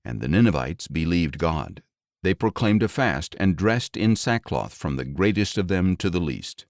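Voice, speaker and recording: A man reading scripture as an audiobook narrator in a measured, solemn, dramatic storytelling style. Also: deep voice, animated, dry recording, clean studio sound.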